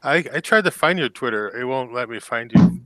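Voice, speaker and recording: Man, bright forceful tone, dry sound, teasing casual chat. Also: medium pitch, expressive, brisk, dry recording.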